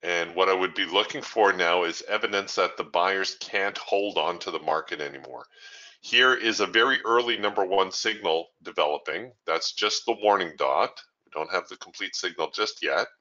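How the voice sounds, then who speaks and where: A man hosting a trading training room, thinking aloud in a relaxed way as he walks through live trades. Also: low voice, normal speed, dull audio. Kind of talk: live trading commentary over screen share.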